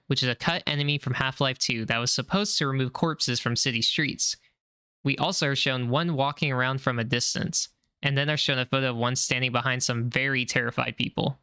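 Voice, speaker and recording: Guy calmly reading a horror recap script, bright clean voice, dry close mic. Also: mid-range voice, clear, resonant tone, no echo. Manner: calm, measured, explanatory. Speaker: video narrator.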